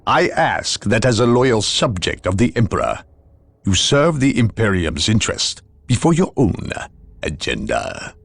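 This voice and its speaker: An actor playing an imperious, coldly polite inquisitor in a video game, deliberate and condescending in his speech. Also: lowish voice, very animated, gravelly, breathy edge, heavily acted. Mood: measured, probing. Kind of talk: dramatic video game cutscene acting.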